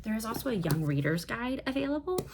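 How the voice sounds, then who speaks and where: A woman's voice: a little high, very animated, fast, noisy background. A woman chatting casually about books in an enthusiastic, digressive way, like a host reviewing her reading. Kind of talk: casual book review chat.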